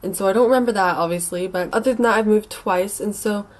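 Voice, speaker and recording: A woman's voice: medium pitch, fast talker. A young American woman with a congested, stuffy nose giving casual advice as a vlogger, in an informal, rambling and hesitant way. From United States. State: mildly sick with a stuffy nose.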